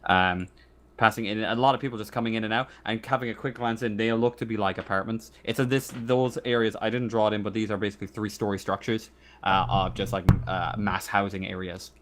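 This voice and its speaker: A man with a fairly low voice runs a tabletop roleplaying game. He narrates as game master, haltingly, thinking aloud as he goes. There is faint noise behind him. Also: steady tone, fairly bright tone.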